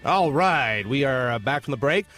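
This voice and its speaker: A man's voice: medium pitch, expressive, moderate pace, fairly bright tone, faint music behind. A man hosting a casual radio talk show in a studio, rambling with many fillers and restarts.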